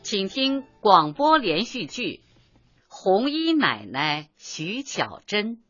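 A woman's voice: medium pitch, sing-song, slow. A woman announcing a radio drama and reading its credits in a formal, scripted style. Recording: dull sound under loud music.

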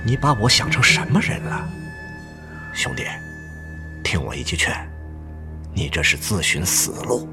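Deep-voiced, animated brotherly army officer. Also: male voice, a little slow, pauses often, music in the background, exaggerated voice. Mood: grave, confiding. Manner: expressive, emphatic, brotherly. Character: hearty older army officer, brotherly yet stern male friend. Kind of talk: Chinese radio drama acting.

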